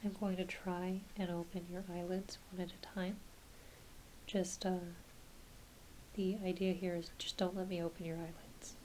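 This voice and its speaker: A woman performing a soft-spoken, often whispered ASMR roleplay as a doctor, talking gently and reassuringly to a patient with some hesitancy. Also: fairly low pitch, little pitch movement, normal speed, low-quality recording. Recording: clear background hiss.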